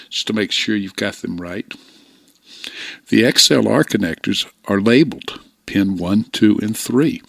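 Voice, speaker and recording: An older man giving informal instructional narration in a plain-spoken, unhurried way, close to a desktop microphone. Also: lowish voice, creaky, dry recording. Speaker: radio hobbyist presenter. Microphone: close desktop microphone, voice-over.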